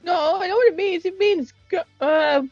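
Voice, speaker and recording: A young woman with an Irish accent chatting casually and telling stories in an excitable, rambling, sweary way. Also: very high voice, moderate pace, no room sound. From rural Ireland. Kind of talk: casual chat, storytelling with another woman. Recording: dull lo-fi recording, music behind. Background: music.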